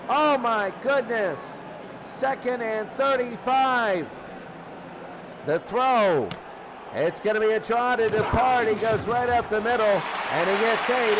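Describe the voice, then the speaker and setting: A man doing live play-by-play radio commentary on a football game in short, clipped phrases. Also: high voice. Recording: loud crowd noise, noisy broadcast. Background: roaring stadium crowd.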